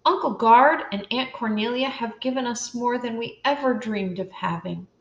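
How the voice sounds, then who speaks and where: A woman's voice: fairly high pitch, normal speed, dull audio, pitched above the performer's own voice, close to an everyday voice. An older woman voicing an earnest, determined young orphan girl in a storybook reading. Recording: roomy echo.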